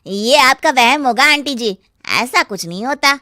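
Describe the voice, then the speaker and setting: A woman voicing a friendly, teasing young brother in an animated story. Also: high-pitched, moderate pace, no echo, clean studio sound. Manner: bright, teasing.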